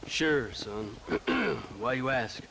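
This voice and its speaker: A man's voice: medium pitch, very animated, extremely slow, exaggerated voice. An actor playing a jaded, talkative American government lawman in a Western game, folksy and wry. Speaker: video game character. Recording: clearly noisy outdoor ambience.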